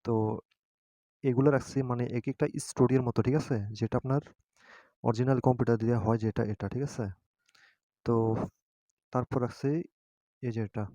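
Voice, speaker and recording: Mellow-voiced man giving a halting tutorial. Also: mid-range voice, little pitch movement, no room sound. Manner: hesitant, halting, often seeking agreement. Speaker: tutorial host. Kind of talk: informal step-by-step app tutorial.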